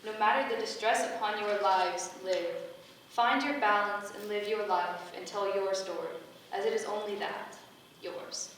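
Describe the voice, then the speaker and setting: A woman's voice: medium pitch, measured pace, a bit bright, close to an everyday voice. A woman playing a benevolent, motherly goddess with formal, ceremonial oratory in a staged play reading. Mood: solemn, uplifting. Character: benevolent motherly female goddess, grand and solemn, gathering her heralds. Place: echoey indoor venue at a staged reading. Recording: very echoey room from far off, faint noise.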